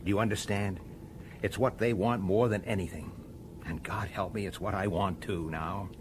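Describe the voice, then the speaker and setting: An actor playing a weary, dogged middle-aged police detective in a science fiction radio drama, pressing and intense. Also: male voice, low voice, steady tone, moderate pace, slight background noise, natural voice. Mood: earnest, urgent. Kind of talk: radio drama acting with first-person narration.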